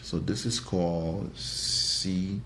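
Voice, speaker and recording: A man's voice: low voice, little pitch movement, slow pace, creaky, fairly bright tone, a little hiss or hum. A man from Trinidad giving a casual live product review, thinking aloud in a hesitant, musing way and often repeating his phrases. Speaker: fragrance reviewer and livestream host.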